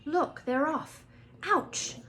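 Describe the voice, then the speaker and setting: A woman's voice: high voice, drawn-out words, sharp tone, a little hiss or hum, voice pushed up, heavily acted. A woman voicing a hot-tempered, loyal schoolboy from a storybook in a snappy, emphatic way. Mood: worried, excited.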